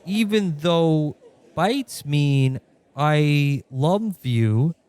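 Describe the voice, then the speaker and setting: A man playing a smug, self-serious poet with a precious, deliberate delivery in a comic radio play. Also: fairly high pitch, lively intonation, darkish tone, smooth, a faint crowd. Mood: precious reciting.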